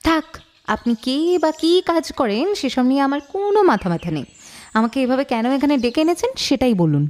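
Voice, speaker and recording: A woman playing an irritated, sharp-tongued married woman in an audio detective drama, curt, scornful and suspicious. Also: high-pitched, very animated, brisk. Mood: annoyed, curt.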